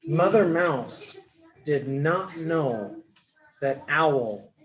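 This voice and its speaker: Slow-paced man reading to young children. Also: on the high side, lively intonation, a little hiss or hum, low-quality recording. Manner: simple, explanatory phrasing with deliberate pauses. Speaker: children's storybook reader, early education teacher. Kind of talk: read-aloud storytelling for young children.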